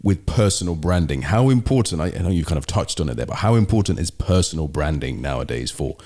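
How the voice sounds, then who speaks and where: Male host, very deep and dark, fast with quick backchannels. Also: lively intonation. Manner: brisk, frequent short backchannels. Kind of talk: interview host.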